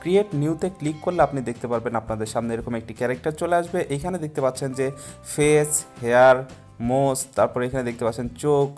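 A man's voice: medium pitch, darkish tone. A young man hosting an explanatory tutorial in a step-by-step, instructional way. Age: young adult. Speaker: tutorial host.

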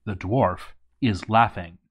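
A man's voice: on the low side, slow. Man narrating a game story theatrically. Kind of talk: dramatic read-aloud narration of a game playthrough.

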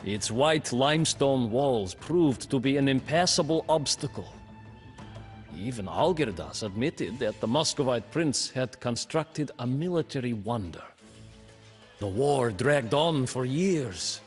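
A man voicing a proud, ambitious young prince tells his story in a slow, weighty storybook narration for a game. His voice sits at an ordinary pitch, and soft music plays underneath. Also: animated, natural voice. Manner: slow, measured, brooding delivery. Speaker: campaign narrator. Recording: faint music behind.

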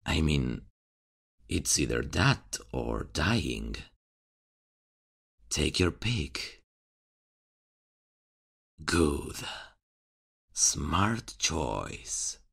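A man voicing a calm, mocking vigilante killer who speaks slowly and with quiet menace in an ASMR horror roleplay. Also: a bass voice, creaky, husky. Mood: calm commanding. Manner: slow, teasing, quietly menacing.

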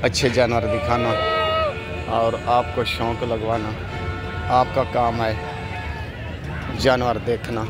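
A middle-aged man, a goat farmer, walks through an open-air livestock market, filming on his phone. He talks at a slow, unhurried pace in a mid-range voice. He keeps returning to the same tag question as he shows the animals. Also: mid-range voice, monotone, over music. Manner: slow, repetitive, ends phrases with a tag question. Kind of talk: walking tour vlog commentary.

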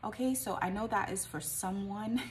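A woman's voice: medium pitch, moderate pace, a little hiss or hum. A woman in her forties, a life coach, giving an earnest, encouraging talk straight to the camera from a small home office and asking rhetorical questions. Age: 40s.

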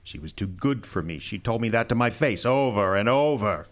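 An actor playing a tough, defensive, jealous athlete, speaking bluntly in an old-time radio crime drama. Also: male voice, fairly low pitch, lively intonation, normal speed, lightly acted. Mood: bitter, confessing. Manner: blunt, colloquial. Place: live stage before an audience.

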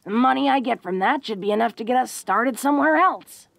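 Woman acting a gravelly, tough young orc sweetheart. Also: on the high side, animated, fairly quick, a bit bright, no room sound. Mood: earnest, hopeful. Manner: casual, folksy, hesitant with frequent stammers and "huh?" tags. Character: tough, bold young orc woman, secretly awkward and tender. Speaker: voice actor in audio roleplay. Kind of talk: intimate romantic audio roleplay acting.

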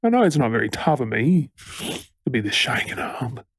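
An Australian man playing a frantic, tender boyfriend fussing over his partner in an intimate audio role-play, stammering with emotion, his voice husky. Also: medium pitch, very animated, normal speed, no echo, clean studio sound. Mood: shaken, loving.

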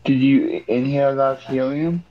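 A man's voice: medium pitch, fairly even intonation, measured pace, dull audio. A man joking casually with friends on a group video call. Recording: very noisy video call audio.

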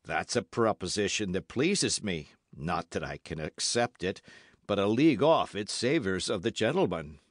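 A man voicing a proud, gracious, wryly world-weary musketeer in formal, measured speech for a storybook. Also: fairly low pitch, lively intonation, no room sound, studio quality. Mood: gracious, dry.

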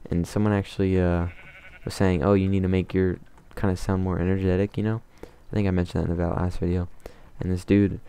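A man calmly talking to himself while playing a game, in a soothing, mumbling way. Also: sits low, brisk, hardly any pauses, smooth. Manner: calm, mumbling, self-talking. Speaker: gamer. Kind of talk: casual let's play commentary.

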